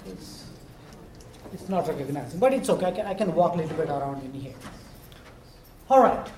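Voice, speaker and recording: A man's voice: a little high, lively intonation, brisk, noisy background. A man with an Indian accent, a biology professor from India, giving a chatty, self-deprecating university lecture. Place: lecture room. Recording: roomy hall, heard from far.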